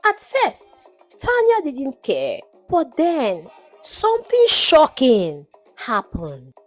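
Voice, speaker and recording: A woman's voice: a little high, a little mellow. A woman narrating a scripted folktale in a dramatic storytelling style.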